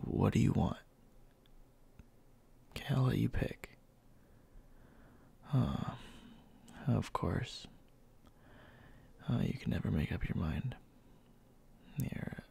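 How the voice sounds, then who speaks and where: Husky, gravelly man as a tender-gruff boyfriend. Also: low voice, warm tone, heavily acted. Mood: gruff, caring. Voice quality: breathy, husky, often dropping to whisper. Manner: halting, murmured, scolding yet caring. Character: gruff but tender, bossy young boyfriend nursing sick partner. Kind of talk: soft intimate ASMR boyfriend roleplay.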